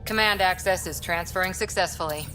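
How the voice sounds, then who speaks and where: A woman playing a composed starship officer in a video game, speaking in a formal, measured way. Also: lowish voice, sharp tone, low-quality recording. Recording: music behind the voice.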